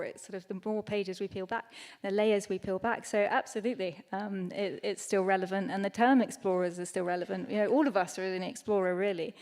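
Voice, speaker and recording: A woman's voice: medium pitch, darkish tone. A woman explorer speaking as a panel guest, hesitant with many fillers but upbeat. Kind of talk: live panel discussion. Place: lecture hall with audience.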